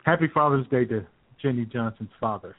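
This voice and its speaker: A man's voice: mid-range voice, brisk, slight background noise. A man co-hosting an internet radio show, bantering casually and sarcastically about the news over a thin, phone-like sound. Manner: sarcastic, joking.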